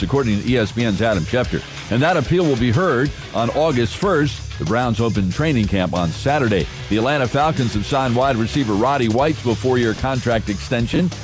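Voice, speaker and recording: Male sports anchor over loud music. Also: medium pitch. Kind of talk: scripted sports news bulletin. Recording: dull band-limited radio, loud music bed.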